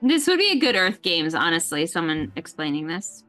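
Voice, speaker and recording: A woman's voice: fairly low pitch, sing-song, normal speed, bright, forceful tone, soft background music. A woman chatting casually and playfully as she streams a game, with a teasing manner. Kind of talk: casual livestream chat.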